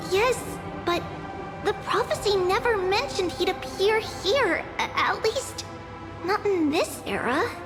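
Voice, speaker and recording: Gentle little game girl, high voice, earnest, takes her time, reverby. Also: animated, slow pace, exaggerated voice. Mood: uncertain. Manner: earnest, careful. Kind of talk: scripted fantasy game drama. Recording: long reverb, clear music behind.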